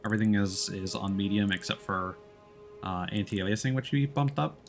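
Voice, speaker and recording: A man giving casual live commentary as he streams a video game, often pausing to think aloud. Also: fairly low pitch, vocal fry, soft background music.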